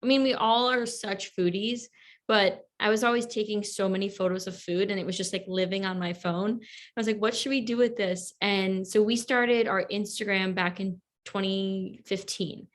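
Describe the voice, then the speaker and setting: A woman's voice: medium pitch, steady tone, moderate pace. A woman in her twenties, a podcast guest, talking chattily and using lots of fillers like "like". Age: 20s. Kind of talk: casual interview chat.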